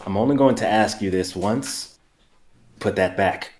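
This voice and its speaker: A man reading the part of a calm, guilt-ridden male consultant in prison, restrained and defensive. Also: on the low side, unhurried, a little hiss or hum, lightly acted.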